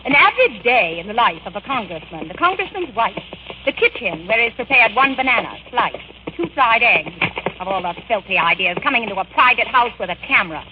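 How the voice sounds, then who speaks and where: An actress playing a haughty, sharp-tongued young society bride in a 1940s-style radio comedy, with an imperious, biting delivery. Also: female voice, fairly high pitch, big pitch swings, brisk. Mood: indignant, scornful. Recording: noisy, muffled vintage radio recording.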